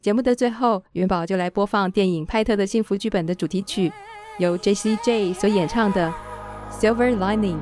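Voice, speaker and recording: A female podcast host delivers her questions and scripted narration as a presenter. Music plays softly with her voice. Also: mid-range voice, a little fast. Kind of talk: interview hosting with scripted closing narration.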